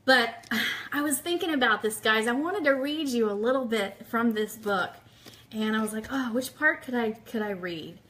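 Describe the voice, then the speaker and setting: A woman's voice: a little high, normal speed, fairly bright tone. A woman hosting a radio show livestream, chatting casually and enthusiastically with her audience and reading aloud. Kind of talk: casual livestream chat with book read aloud.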